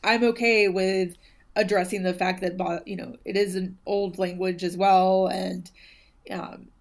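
A woman's voice: medium pitch, little pitch movement, a little slow, a little mellow. A woman co-hosting a casual podcast about a book, thoughtful and hedging, with many ums and you knows. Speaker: podcast co-host discussing a book. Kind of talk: casual conversational chat.

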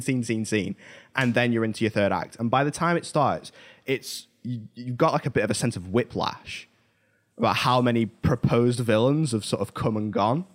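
A man with an average-pitched voice talks casually as a podcast co-host. His explanations ramble and halt, drifting into side points as he works through them. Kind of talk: casual chat about films.

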